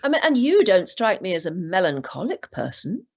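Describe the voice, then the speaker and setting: Lively British woman interviewer. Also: lowish voice, moderate pace, breathy edge. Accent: British English. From Britain. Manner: warm prompting questions, lively intonation. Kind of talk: interview questions. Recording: dull, slightly muffled sound.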